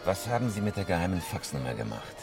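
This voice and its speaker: An actor playing a cold, menacing professional robber in a German radio crime drama, terse, commanding and unemotional. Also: male voice, low-pitched, big pitch swings, fast, loud music behind the voice. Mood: cold, curt.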